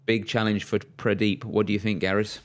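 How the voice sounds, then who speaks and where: A man's voice: fairly low pitch, fairly quick. A man hosting a cricket podcast, talking casually with plenty of fillers and restarts. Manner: relaxed, hesitant with fillers and restarts. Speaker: podcast host. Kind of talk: casual chat.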